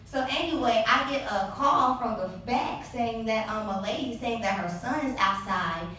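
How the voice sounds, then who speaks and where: A young adult woman telling a personal story live on stage, animated and sassy with laughing asides. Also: on the high side, normal speed, slight background noise. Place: live event venue. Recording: echoey hall, distant mic.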